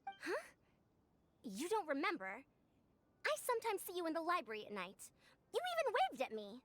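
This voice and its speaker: A woman's voice: very high-pitched, huge pitch swings, moderate pace, a bit bright, no echo, full character voice. A woman voicing a proud, self-important senior scholar in a video game, formal and theatrical but youthful-sounding. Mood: puzzled, brisk. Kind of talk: anime-style video game voice acting.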